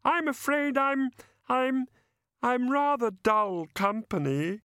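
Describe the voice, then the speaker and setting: A man's voice: very high voice, slow, pushed and bright, clear, resonant tone, dry recording, clean studio sound, pitched above the performer's own voice, exaggerated voice. A man voicing a timid, tearful female fairy in an audiobook, meekly polite, hesitant and self-deprecating. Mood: apologetic, tearful.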